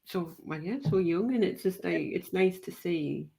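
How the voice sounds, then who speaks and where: A woman podcast host speaks in a fairly low voice with a North East English accent. Her talk is casual and colloquial. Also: normal speed, creaky, dark tone, slightly rough, low-quality recording. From North East England.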